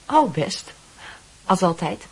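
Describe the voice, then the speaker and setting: A woman's voice: mid-range voice, very animated, slow, gravelly, slightly rough, exaggerated voice, poor audio. An actress playing a reserved young schoolgirl in a radio play, giving brief replies. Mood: shy, reserved. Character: reserved young schoolgirl meeting an old admirer. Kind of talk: radio play acting in Dutch.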